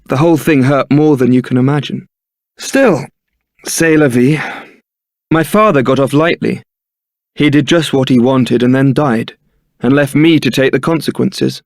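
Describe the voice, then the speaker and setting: An actor playing a young templar knight in a video game, suave, wry and brooding, with a theatrical, articulate delivery. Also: male voice, medium pitch, fairly even intonation, measured pace, dry recording, studio quality, natural voice. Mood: wry, bitter. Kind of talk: video game voice acting, dramatic scripted dialogue.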